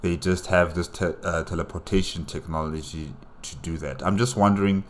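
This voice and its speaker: A man's voice: sits low, fairly even intonation, moderate pace. A man giving casual, off-the-cuff commentary as a reaction host, thinking aloud with hesitations and repeated words.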